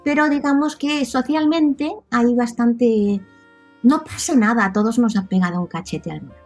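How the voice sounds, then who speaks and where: A woman with a Catalan accent and Catalan as her first language talks in a fairly high voice. She is a casual podcast guest, chatty and fond of tag questions. Faint music is heard with her speech. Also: normal speed, a little mellow. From Catalonia, Spain. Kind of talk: casual conversational interview.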